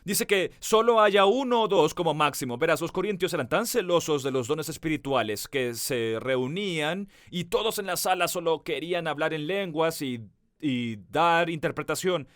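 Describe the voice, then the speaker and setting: A pastor preaching with earnest conviction, his voice sitting somewhat high for a man. He speaks right to his listeners and stretches out the words he wants to land. The sound is close and dry, with almost no room around it. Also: expressive, fairly bright tone, no room sound. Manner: earnest preaching, slows down on key words for emphasis; addresses the listener directly. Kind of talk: sermon.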